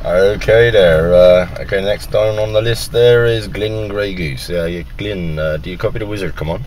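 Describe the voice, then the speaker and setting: A man's voice: low voice, moderate pace, noisy background. A man making casual amateur radio contacts, working down a list in radio jargon. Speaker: radio operator, video maker.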